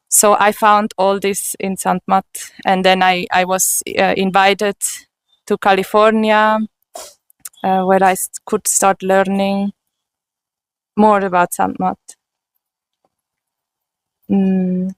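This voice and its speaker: Woman, German accent, slow unhurried speech, smooth clear voice, earnest testimony. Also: medium pitch, dry recording. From Switzerland. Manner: slow and earnest, with frequent pauses and restarts. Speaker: interviewee. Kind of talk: personal testimony.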